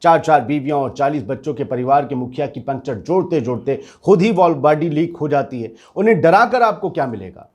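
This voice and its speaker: A man's voice: a little high, animated, fairly quick, hardly any pauses, a little mellow, highs cut off. A man acting as a reasonable office worker in a satirical drama, questioning and challenging in an earnest, sardonic way. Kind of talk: scripted satirical dialogue.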